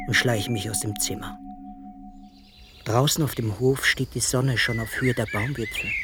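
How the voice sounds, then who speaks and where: An actor playing a quiet, observant man, narrating in the first person in a calm, measured radio drama style. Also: medium pitch, lightly acted. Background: prominent music and birdsong.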